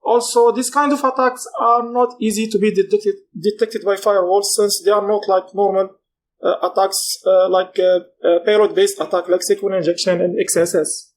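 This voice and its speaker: A man, giving a technical conference talk and pausing often to find his words. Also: high-pitched, fairly even intonation. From Morocco. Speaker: conference speaker.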